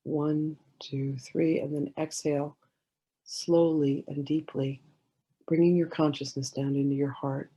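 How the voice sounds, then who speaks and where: A woman leading a guided meditation in a gentle, soothing way, giving slow instructions with many pauses. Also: low-pitched, level tone, husky, highs cut off.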